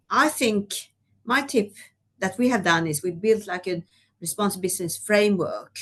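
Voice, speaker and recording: A woman from Sweden, speaking as a guest executive in a webinar discussion, talking conversationally with many "you know"s. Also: medium pitch. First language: Swedish.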